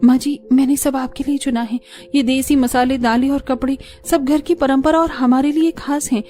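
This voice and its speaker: A caring young daughter-in-law in a cartoon, soft-spoken and earnest, with a fairly high voice, as a woman acts her out. Faint music sits behind her. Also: fairly even intonation, moderate pace, close to an everyday voice. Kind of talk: cartoon voice acting.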